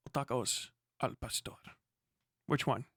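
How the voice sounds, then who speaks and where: A man's voice: mid-range voice, measured pace, a bit bright, dry recording, studio quality. A man hosting a casual livestream, giving blunt, profane, slangy political commentary and talking back to chat. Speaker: livestream host.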